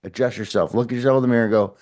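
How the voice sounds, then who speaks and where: A man's voice: mid-range voice, steady tone, rapid-fire, darkish tone. Earnest man giving a motivational pep talk. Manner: earnest, repetitive, encouraging, with many restarts. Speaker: motivational podcast host. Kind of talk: casual motivational talk to camera.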